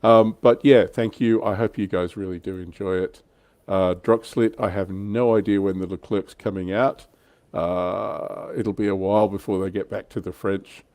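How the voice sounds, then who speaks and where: Low-voiced middle-aged man hosting casually. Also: fairly even intonation, moderate pace, few pauses, darkish tone, dry recording. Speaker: livestream host. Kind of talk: casual livestream chat about tabletop wargaming.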